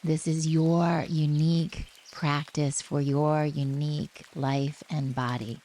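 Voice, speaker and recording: A woman guiding a relaxation meditation in a gentle, soothing manner, speaking in short phrases with long pauses. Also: low-pitched. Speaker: yoga nidra guide. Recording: steady rain noise behind the voice. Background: rain ambience.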